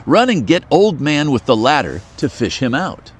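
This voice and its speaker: A man's voice: on the high side, very animated, moderate pace, slightly rough. A man voicing a stern, impatient mother in a children's storybook.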